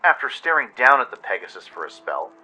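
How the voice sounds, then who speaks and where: A man's voice: medium pitch, sing-song, fairly quick, pushed and bright, slightly rough. A man reading a dramatic scripted adventure story as narrator. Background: music.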